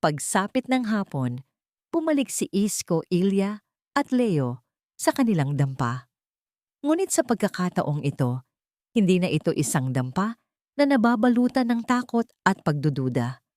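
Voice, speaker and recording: A woman's voice: medium pitch, sing-song, measured pace, long pauses, darkish tone, dry recording, clean studio sound. A woman with a Filipino accent reading a scripted, sentimental romantic drama as a storyteller.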